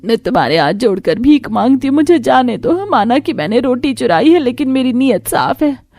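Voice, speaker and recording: A woman's voice: high-pitched, very animated, normal speed, warm tone, no echo, clean studio sound, pitched above the performer's own voice, exaggerated voice. A woman voicing a poor, humble mother-in-law in a cartoon story, earnest and pleading. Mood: pleading, distressed.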